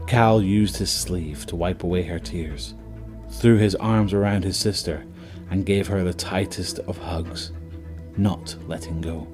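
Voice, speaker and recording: Very deep-voiced male horror narrator. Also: steady tone, measured pace. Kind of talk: scripted dramatic horror narration. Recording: music under the voice.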